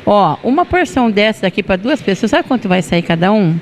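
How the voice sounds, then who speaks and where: A woman's voice: mid-range voice, very animated, a little fast, slight background noise. A middle-aged Brazilian woman hosting a casual food interview on location, chatting and addressing viewers directly into a handheld microphone. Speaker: TV show host. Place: restaurant dining room, indoors. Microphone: handheld reporter microphone.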